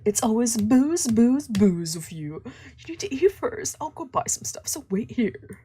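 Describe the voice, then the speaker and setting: A woman's voice: on the high side, big pitch swings, measured pace, warm tone, husky, pitched above the performer's own voice, heavily acted. A woman voicing a fussy, caring friend who scolds and looks after someone in a visual novel. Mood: exasperated. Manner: scolding yet solicitous.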